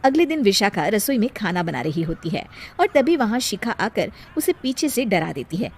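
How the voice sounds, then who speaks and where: A woman's voice: medium pitch, big pitch swings, moderate pace, darkish tone, breathy edge. A woman reading scripted narration for a cartoon moral story as the storyteller.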